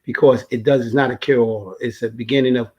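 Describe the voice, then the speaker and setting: A man's voice: mid-range voice, fairly even intonation, brisk, slightly rough, highs cut off. A man speaking as a guest on a video call, giving earnest advice to the group. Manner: earnest, advising.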